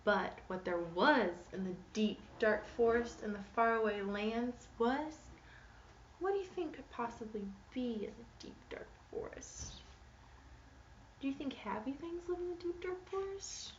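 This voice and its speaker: A woman giving an expressive, interactive children's read-aloud in a teacherly way, putting questions to young listeners. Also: a little high, unhurried, distant mic. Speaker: teacher reading a picture book aloud. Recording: echoey room, muffled, noisy background.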